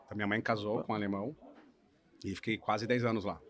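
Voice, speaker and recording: A man from Brazil, a lawyer in his thirties, telling the story of his career in a relaxed podcast conversation, often checking in with "né?". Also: fairly low pitch, quick pace. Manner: relaxed storytelling, hedging with frequent "né?". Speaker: guest lawyer. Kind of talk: casual podcast interview.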